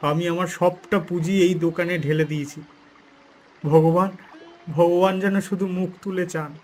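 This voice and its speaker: A man's voice: sits high, level tone, moderate pace, faint music behind, natural voice. A man voicing a poor, humble village shopkeeper and loving father in a melodramatic cartoon, with an anxious, emotional, plaintive delivery. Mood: hopeful. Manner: plaintive, emotional, repeats words.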